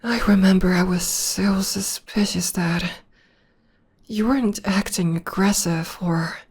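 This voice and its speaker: A woman playing a gentle, loving witch girlfriend in an intimate ASMR roleplay, speaking softly and tenderly in a breathy half-whisper. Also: mid-range voice, exaggerated voice. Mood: tender, reminiscing. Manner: tender, slow, soothing. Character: gentle, loving witch girlfriend comforting her demon partner.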